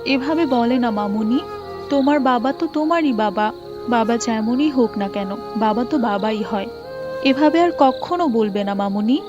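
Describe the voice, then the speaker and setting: A woman playing a gentle, devout, long-suffering mother in a moral cartoon, tender and preachy. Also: a little high, normal speed, natural voice. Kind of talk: Islamic moral cartoon voice acting. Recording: muffled, with music behind.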